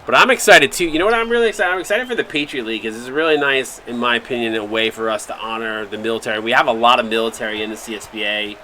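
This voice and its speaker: A man's voice: mid-range voice, very fast, hardly any pauses, sharp tone, slight background noise. A man calling a simulated basketball game on a live stream, upbeat and thanking viewers by name between plays. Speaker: play-by-play broadcaster for a simulated basketball league stream.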